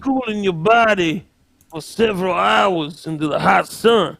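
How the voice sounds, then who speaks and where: A man's voice: sits high, measured pace, vocal fry, a bit bright, no room sound, heavily acted. A man playing a folksy, rambling old cowboy with a Southern US accent and a theatrical manner. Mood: rambling, fervent.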